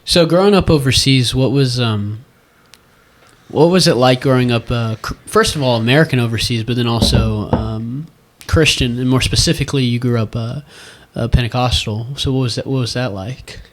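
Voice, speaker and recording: A man's voice: medium pitch, moderate pace. Young male podcast host, rambling questions. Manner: meandering questions with many restarts. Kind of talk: casual podcast chat.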